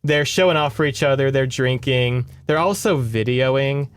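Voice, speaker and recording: Smooth-voiced man, casual podcast storyteller. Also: medium pitch, normal speed, no room sound. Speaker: podcast co-host retelling a book. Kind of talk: casual conversational book recap.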